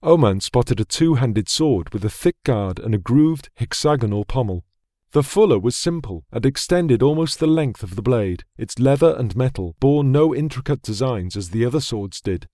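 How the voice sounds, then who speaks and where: A man narrating a fantasy audiobook in a measured, dramatic storytelling manner on a close studio mic. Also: medium pitch, expressive, mellow, no echo.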